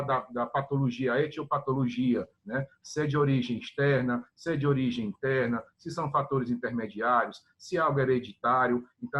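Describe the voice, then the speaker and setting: Higher-voiced man teaching in a lively, coaxing way. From Ceará, Brazil. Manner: ends phrases with tag questions, speaks to students. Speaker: guest professor of Chinese medicine. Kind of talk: explanatory teaching talk.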